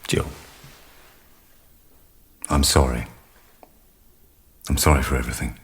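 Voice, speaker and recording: Low-voiced, bossy project leader. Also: male voice, fairly even intonation, slow pace, full character voice. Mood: pleading, defensive. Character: driven, bossy male project leader, gruff and boastful. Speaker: actor in a radio drama. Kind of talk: naturalistic radio drama acting.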